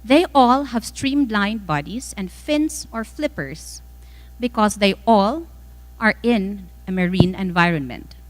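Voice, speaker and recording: A woman with a Filipino accent reading a formal scripted speech as a guest speaker, measured and emphatic phrase by phrase. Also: mid-range voice, expressive. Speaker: guest speaker, former mayor.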